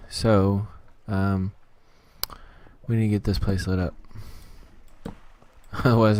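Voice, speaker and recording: Slightly hoarse man narrating his gameplay. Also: fairly low pitch, a little mellow, slight background noise. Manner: easygoing, talks to himself while playing. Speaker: gaming channel host. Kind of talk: casual gameplay commentary.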